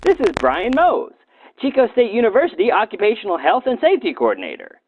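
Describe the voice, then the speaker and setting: A man presents with a high, wildly animated delivery and a mock-serious comic edge. His voice has some rasp, and the recording is dry with a thin, phone-like band. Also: fast, a little hiss or hum. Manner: tongue-in-cheek, mock-serious. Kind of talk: comedic scripted narration for a safety film. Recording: muffled, phone-like narrow band.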